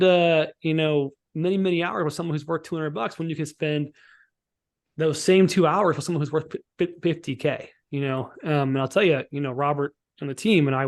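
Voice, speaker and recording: A man giving an informal, instructional software walkthrough as a sales trainer on a live call, often checking that listeners follow. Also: fairly high pitch. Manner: informal, instructional, checks for understanding with tags like "right?" and "okay?". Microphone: online group call.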